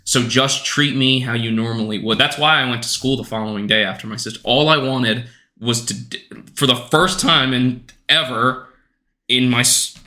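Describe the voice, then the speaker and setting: Twenties man, bright tone, earnest and rambling, lots of restarts; casual podcast talk. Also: mid-range voice, moderate pace. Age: 20s. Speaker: podcast guest.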